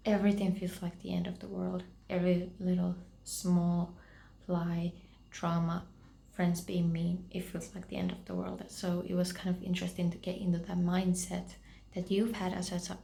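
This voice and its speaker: Woman with a creaky voice. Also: lowish voice, a little hiss or hum. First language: Finnish. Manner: chatty and reflective, drops to soft near-whispers. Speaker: book vlogger. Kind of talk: casual reading vlog.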